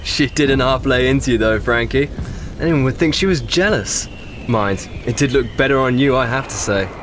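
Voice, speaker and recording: A man acts an educated, idealistic young English soldier in a wartime radio play. He speaks earnestly and politely in a mid-pitched voice. Outdoor noise is clearly heard around him. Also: moderate pace, lightly acted. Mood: teasing. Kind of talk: audio drama acting.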